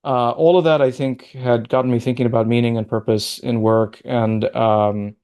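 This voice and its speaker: A man's voice: mid-range voice, steady tone, normal speed, darkish tone. A man raised in the Middle East, speaking as a guest in a video-call interview, thoughtful and prone to restarting sentences. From Middle East (born in Qatar, raised in Oman). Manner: thoughtful, restarts and rambles while recalling. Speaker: guest, sociology professor.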